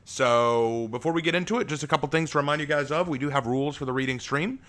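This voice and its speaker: A man with a deep voice, an author chatting with his stream audience and then reading his own fantasy prologue aloud, relaxed, with fillers and restarts. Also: fast.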